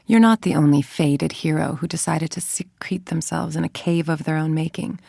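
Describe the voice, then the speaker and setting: A scheming female scientist for a video game: low, heavily creaky voice, formal, controlled, dryly superior. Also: normal speed, warm tone, no room sound, clean studio sound, full character voice. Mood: cold, threatening. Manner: articulate, formal, dryly condescending. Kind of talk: scripted game monologue, dictated logs and letters.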